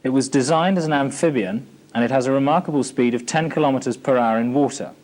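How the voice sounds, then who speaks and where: A man's voice: medium pitch, fast talker, darkish tone. Formal British male military film narrator. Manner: formal, matter-of-fact. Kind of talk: scripted instructional narration. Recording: 1980s archive training film soundtrack.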